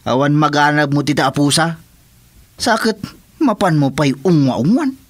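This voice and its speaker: A man's voice: a little high, animated, normal speed, dry recording. A man playing a grumbling, put-upon village husband in an Ilocano comedy radio drama, with an Ilocano accent. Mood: irritated.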